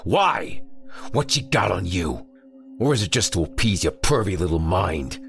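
A man voicing a gruff, hostile male bear detective who talks bluntly and threateningly. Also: low-pitched, big pitch swings, measured pace, fairly bright tone, soft background music, voice pushed up, full character voice.

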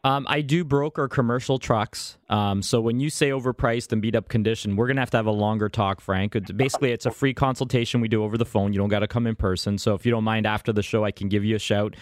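A man's voice: fairly low pitch, fairly even intonation, fast, few pauses, dry recording, studio quality. A man appearing as a guest on a radio talk show, talking up his car brokering with confident, emphatic sales talk. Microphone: studio microphone.

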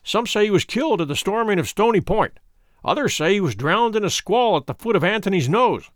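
A man's voice: sits high, lively intonation, brisk, no room sound, studio quality. A man voicing a thin, piping old villager. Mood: matter-of-fact.

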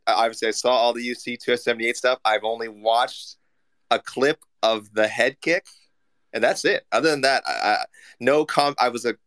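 Lowish voiced dude, joking around, trips over his words a lot. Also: a bit bright, smooth. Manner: playful, stumbling restarts. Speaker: co-host. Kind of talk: casual chat.

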